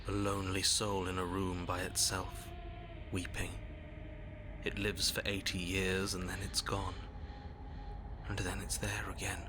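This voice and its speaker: A man playing a grave, cruel narrator in a horror game, speaking slowly and deliberately in an ominous manner. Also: sits low, completely flat delivery, noisy background. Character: grave, cruel male narrator pronouncing bleak doom.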